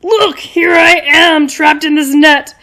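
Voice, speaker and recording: Woman playing a slow, creaky, boastful lion. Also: sits high, steady tone, sharp tone, voice pushed up, exaggerated voice. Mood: despairing, melodramatic. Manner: melodramatic, roaring and drawn-out. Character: big proud male lion, boastful and theatrical. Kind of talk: children's puppet show.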